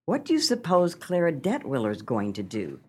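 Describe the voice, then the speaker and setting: A woman's voice: deep voice, huge pitch swings, normal speed, husky, heavily acted. Woman acting a swooping, scheming genteel wife. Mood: fretful. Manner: theatrical, genteel, exclamatory. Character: scheming, dramatic small-town wife and mother. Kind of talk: comic radio play acting.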